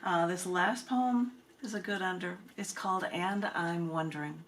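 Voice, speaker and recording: A woman reading spoken-word poetry in measured, deliberate phrases with dramatic pauses. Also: fairly low pitch, a little hiss or hum. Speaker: poet reading own work, host of the reading.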